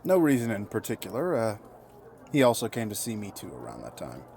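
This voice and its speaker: An actor playing a polite, guarded man in an audio drama, with measured and formal phrasing. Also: fairly low pitch, wildly swooping pitch, creaky, a little mellow, slight background noise, exaggerated voice. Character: grieving, guarded, polite male friend.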